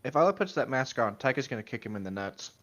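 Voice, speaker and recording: A man's voice: medium pitch, big pitch swings, fast, a bit bright. A man joking around as a co-host in casual banter, swearing freely, on a slightly dull lo-fi microphone.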